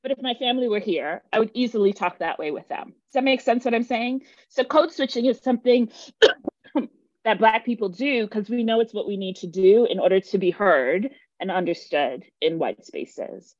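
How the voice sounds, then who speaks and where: A woman's voice: medium pitch, moderate pace, dry recording, lo-fi sound. Earnest woman leading a workshop. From Jamaica. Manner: earnest, emphatic, checks in with listeners. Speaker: workshop facilitator. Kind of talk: teaching a live online workshop.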